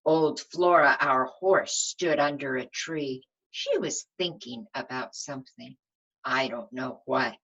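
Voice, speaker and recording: A woman reading a storybook aloud to young children as their teacher, expressive and simply phrased, pausing to ask them questions. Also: rumbling low, highs cut off.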